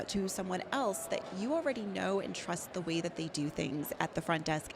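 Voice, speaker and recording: Woman giving expert advice, noisy background. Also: medium pitch. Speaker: practice management consultant and guest speaker. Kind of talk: conversational expert advice in an interview. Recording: clear background noise, urban ambience.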